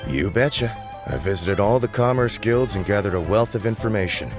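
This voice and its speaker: A man's voice: on the low side, expressive, brisk, over loud music, natural voice. An actor playing a smug, boastful young male informant in a game, who talks in a cocky, teasing way. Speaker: game character.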